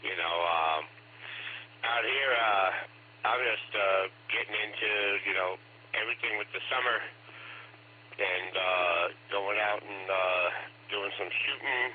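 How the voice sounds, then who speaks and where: A man's voice: on the low side, slow pace. A man in his fifties, a ham radio operator, chatting upbeat and casually with many fillers. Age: 50s. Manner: upbeat, adventurous, filler-heavy. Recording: tinny two-way radio, faint hiss.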